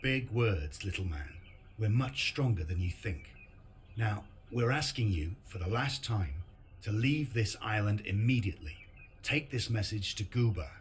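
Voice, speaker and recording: An actor playing a defiant, resolute local resistance leader in a video game. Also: male voice, on the low side, animated, unhurried, a little hiss or hum. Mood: firm, defiant. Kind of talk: video game cutscene acting and narration.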